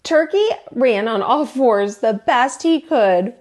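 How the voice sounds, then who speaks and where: A woman reading a children's picture book aloud as a storytime teacher, in an expressive, deliberate way. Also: fairly high pitch. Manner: animated, deliberate storytelling delivery.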